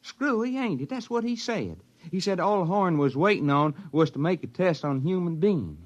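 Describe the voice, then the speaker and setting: An actor playing a dim, reluctant enlisted soldier in a 1950s radio drama, folksy, deferential and hesitant. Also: male voice, high-pitched, expressive, moderate pace. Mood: flustered. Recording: dull vintage 1950s radio recording.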